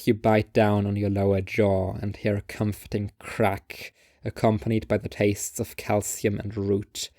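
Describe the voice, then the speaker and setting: A man's voice: on the low side, creaky, darkish tone, no room sound, clean studio sound. A man reading a scripted second-person story as its narrator.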